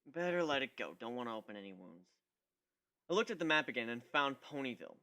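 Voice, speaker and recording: A man's voice: mid-range voice, sing-song, fast, pushed and bright. A man giving an amateur first-person dramatic reading of a story in a wry, conversational manner. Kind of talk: first-person dramatic narration of fan fiction.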